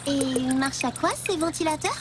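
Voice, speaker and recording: Woman voicing a little cartoon girl, super high and bubbly, always curious, music playing behind. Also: a little fast, close to an everyday voice. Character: cheerful curious little girl of about ten. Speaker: child heroine. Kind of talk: cartoon dubbing. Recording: music under the dialogue.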